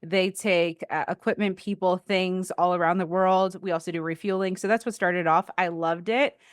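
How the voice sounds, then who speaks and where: A woman's voice: fairly low pitch, steady tone, moderate pace, smooth, dry recording, clean studio sound. A woman in her thirties, a guest on an interview podcast, talking casually about her career with many fillers and restarts. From Philippines. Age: 30s. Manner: chatty, with frequent fillers and restarts. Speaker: guest, defense contractor and Air Force veteran.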